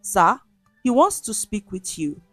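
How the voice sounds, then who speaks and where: A gentle young schoolteacher, played by a woman narrator speaks softly and respectfully in a storybook tale. The pace is slow, in a close dry sound. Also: mid-range voice, big pitch swings, husky, no echo, soft background music, pitched above the performer's own voice. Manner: soft, quiet.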